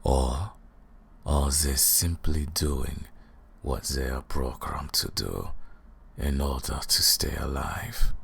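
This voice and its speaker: Very deep, gravelly vampire lord. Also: male voice, full character voice. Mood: mocking, indignant. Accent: put-on foreign accent. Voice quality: gravelly, husky, breathy deep voice. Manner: slow, menacing, mocking, theatrical. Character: arrogant, cruel male vampire lord taunting a captive. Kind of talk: ASMR roleplay monologue.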